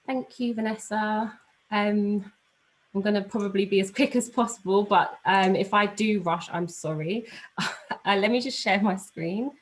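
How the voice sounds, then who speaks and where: A woman's voice: mid-range voice, a little slow. A woman with a London accent giving an informal presentation on a video call, chatty and slightly flustered. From London, England. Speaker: aviation analyst giving a talk.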